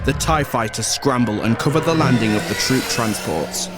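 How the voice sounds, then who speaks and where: A man reads scripted narration for a space adventure audio drama. His voice sits at an average male pitch, with clearly audible music behind it. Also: expressive, moderate pace. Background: loud music.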